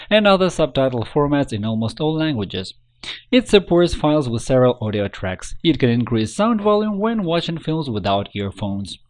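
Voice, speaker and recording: Man narrating a software tutorial with lively pitch.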